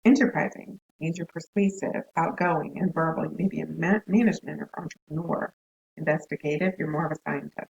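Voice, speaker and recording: A hoarse woman with a scratchy voice giving a casual recorded class lecture on counseling. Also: low-pitched, fairly even intonation, fairly quick, a little mellow, lo-fi sound. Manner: conversational, chatty asides. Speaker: counseling instructor.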